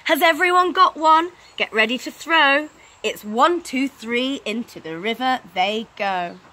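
A woman acts a cheerful little storybook girl, bossy and eager. She calls out in a high, bright voice. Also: lively intonation, a little slow, exaggerated voice, poor audio. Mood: excited. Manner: eager, calling out.

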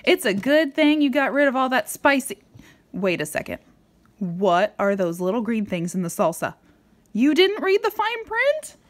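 A woman reading a picture book aloud to a young child in a playful, expressive way with dramatic emphasis. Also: fairly high pitch, normal speed. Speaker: storybook reader.

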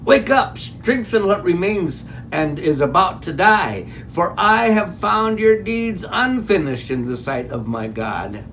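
Gravelly older pastor preaching. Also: male voice, high-pitched, slow, few pauses, a little hiss or hum. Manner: animated, gesturing with raised hands; folksy, stops to ask "okay?". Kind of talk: sermon. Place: home room before a decorated fireplace.

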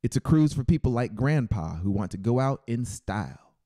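A man's voice: mid-range voice, sing-song, moderate pace, mellow, no echo, clean studio sound, lightly acted. A man doing a cool, knowing young cousin. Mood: blunt. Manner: casual, nonchalant. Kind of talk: audiobook character voice.

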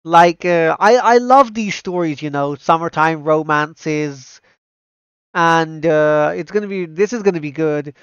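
A man with a smooth, fairly high voice, talking casually as a streamer. The sound is dry and close, slightly dull. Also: measured pace, a bit bright, no echo. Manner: enthusiastic, repeats himself. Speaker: streamer reacting to anime trailers. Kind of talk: casual livestream commentary.

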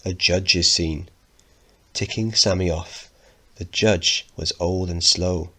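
A man's voice: low voice, fairly even intonation, takes it slowly, sharp tone, husky. A man teaching, narrating a play and explaining it in an instructive way.

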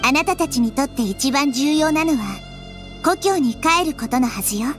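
A woman voicing a wise, caring little-girl deity in an anime game, soft, thoughtful and measured. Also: high voice, expressive. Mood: gentle resolve. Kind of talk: Japanese anime game voice acting. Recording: music behind the voice.

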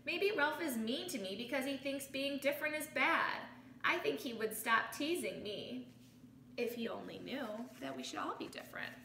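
A woman's voice: high voice, expressive, normal speed, creaky, sharp tone, echoey room, recorded from a distance, a little hiss or hum, heavily acted. A woman voicing a sensitive, earnest young schoolgirl from a picture book, with a pleading manner. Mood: worried, hurt.

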